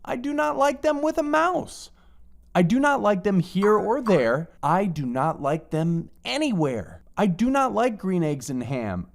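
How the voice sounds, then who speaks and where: A woman's voice: medium pitch, a little slow, gravelly. A woman voicing a grumpy, stubborn picky eater in a read-aloud storybook, grumbling every refusal. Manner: flat, grumbling, fed-up refusals.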